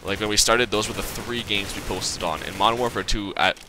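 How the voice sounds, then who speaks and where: A man's voice: on the low side, fairly quick, music in the background. A nineteen-year-old man giving casual gameplay commentary, rambling and profane, stuttering and leaving sentences unfinished. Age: young adult. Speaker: gaming channel host.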